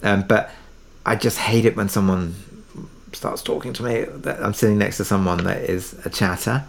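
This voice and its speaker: A man with a slightly low, gravelly voice. He talks casually and haltingly as an interview guest, understated, circling back on his words. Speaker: interview guest, audio drama maker. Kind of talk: casual conversation.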